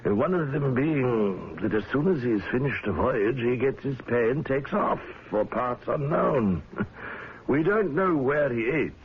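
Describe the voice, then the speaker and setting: An actor playing a gruff but genial middle-aged whaling fleet owner in a radio drama, avuncular and a little hesitant. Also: male voice, medium pitch, animated, a little slow. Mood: uneasy, confiding. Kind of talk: old-time radio drama acting. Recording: dull, lo-fi old radio broadcast.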